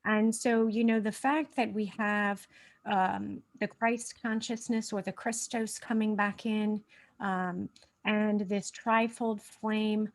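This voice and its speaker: A woman hosting a spiritual livestream in a casual, rambling way, her voice a bit stuffy from a blocked nose. She sits at a middling pitch and leans on fillers and restarts as she talks. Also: fairly even intonation, slow. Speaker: host.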